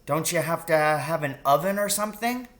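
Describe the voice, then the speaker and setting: A man's voice: on the high side, expressive, normal speed, fairly bright tone, voice pushed up. A man voicing a curious, practical young boy in a children's story, asking earnest, doubtful questions.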